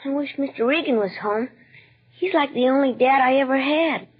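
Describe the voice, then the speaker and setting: A woman playing a plain-spoken, wistful young American boy with a husky voice in a 1940s radio drama. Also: high voice, normal speed, close to an everyday voice. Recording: thin, narrow old radio broadcast.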